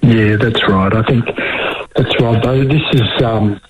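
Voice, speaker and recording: A man with an Australian accent, a radio interview guest, talking in an easygoing way with many pauses and ums. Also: on the low side, husky, no echo, lo-fi sound. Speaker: interview guest, foundation chief executive.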